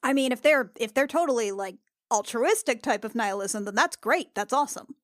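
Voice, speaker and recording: A woman's voice: fairly high pitch, expressive, fairly quick, no room sound, clean studio sound. A woman playing a tabletop role-playing game, talking informally and casually in character.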